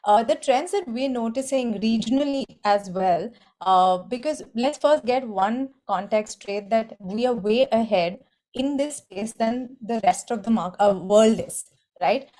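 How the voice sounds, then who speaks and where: A woman's voice: a little high, unhurried, mellow. Articulate woman on a panel. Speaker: panelist, industry executive. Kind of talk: webinar panel discussion.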